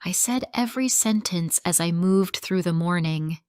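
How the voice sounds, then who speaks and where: A woman reading a simple first-person story for English learners, in slow, clearly spoken sentences. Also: fairly low pitch, a little mellow, no room sound, studio quality.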